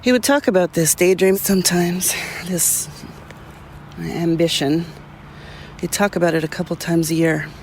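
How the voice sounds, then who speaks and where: Interview-style drama role: man as exasperated pragmatist, gravelly, fairly high voice, judgmental, faint outdoor noise. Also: steady tone, moderate pace, long pauses, lightly acted. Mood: resigned, critical.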